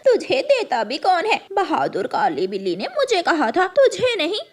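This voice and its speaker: A boastful, greedy female cartoon crow, played by a woman. The voice is very high and animated in pitch. The delivery is brash and teasing. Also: normal speed, full character voice.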